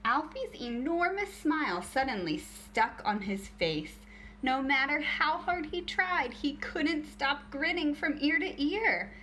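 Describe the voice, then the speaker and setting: A woman teacher reading a picture book aloud to young children in an expressive, deliberate storytelling way. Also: high-pitched, slight background noise.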